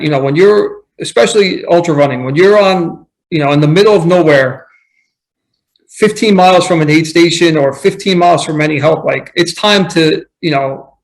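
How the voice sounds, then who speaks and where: A man's voice: on the high side, poor audio. A man guesting on a podcast, talking earnestly and reflectively in casual conversation, often restarting his sentences. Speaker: podcast guest, running coach.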